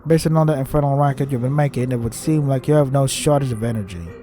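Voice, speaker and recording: A man's voice: medium pitch, fast, mellow, slight background noise, lightly acted. A man voicing a flippant, spoiled young princess in a visual novel, prim, chatty and self-absorbed. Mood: airy, spoiled.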